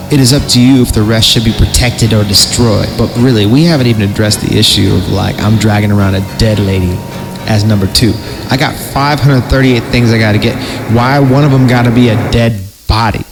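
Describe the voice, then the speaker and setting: Low-voiced man reading horror over loud music. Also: vocal fry, rough recording. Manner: irreverent, theatrical, slangy commentary. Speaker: podcast host reading horror stories. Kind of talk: dramatic reading of creepypasta with casual joking asides.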